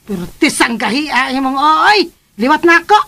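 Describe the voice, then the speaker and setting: High-pitched nagging mother. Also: animated, normal speed, bright, forceful tone, exaggerated voice. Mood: scolding. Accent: Filipino. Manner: scolding, sardonic. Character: nagging, sharp-tongued, gossipy mother of a grown son. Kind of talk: radio drama acting.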